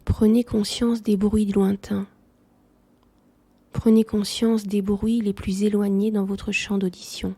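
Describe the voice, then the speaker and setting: A woman leading a guided yoga nidra relaxation, speaking softly in a calm, soothing manner. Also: mid-range voice, slow pace, husky.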